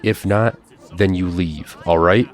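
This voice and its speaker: Gruff, low-voiced big uncle, played by a man. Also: fairly even intonation, takes it slowly. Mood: gruff, relenting. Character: large, gruff, protective uncle standing guard. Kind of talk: audio drama dialogue. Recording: crowd noise behind the voice.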